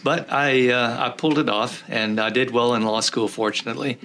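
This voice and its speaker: An older man from rural Texas whose first language was Czech, a law professor recalling his career in an interview, pausing often with "uh" and restarting phrases. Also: medium pitch, steady tone, a bit bright, a little hiss or hum. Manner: halting, many "uh" fillers and restarts.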